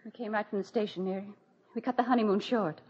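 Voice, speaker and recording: Woman playing gentle young bride, husky, talks quick, muffled 40s radio play. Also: medium pitch, fairly even intonation, natural voice. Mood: subdued. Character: gentle young newlywed sister. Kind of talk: 1940s radio drama acting. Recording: muffled vintage 1940s radio recording.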